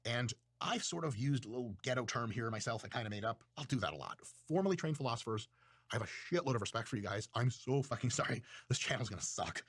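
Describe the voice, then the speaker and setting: Guy rambling about philosophy, husky, swears a lot, dry close sound. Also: mid-range voice, a bit bright, dry recording. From Russia. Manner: profane, self-mocking, rambling. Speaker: video creator. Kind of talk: casual monologue lecture.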